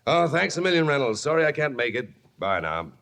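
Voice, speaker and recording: A middle-aged tycoon father in an old-time radio drama. He is breezy and self-important, tossing off clipped remarks. His medium-pitched voice swings widely. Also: very animated, fairly quick, gravelly, fairly bright tone.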